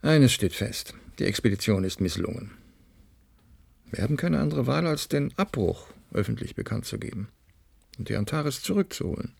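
A husky, skeptical mission official. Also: male voice, medium pitch, very animated, moderate pace, darkish tone, no room sound, exaggerated voice. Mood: skeptical, resolute. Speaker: actor. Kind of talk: German science-fiction radio drama.

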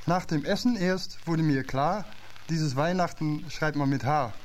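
Non-native German man, measured scripted reading, somewhat high pitch, faint hiss. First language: not German. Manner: measured, literary delivery with slightly non-native phrasing. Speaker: radio essayist. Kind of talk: scripted reading of an archive radio piece.

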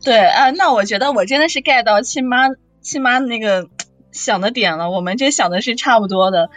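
A woman's voice: on the high side, brisk, fairly bright tone, no room sound. A woman voice actor in a casual chat, chatty and acting out imagined lines as she talks. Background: faint background music.

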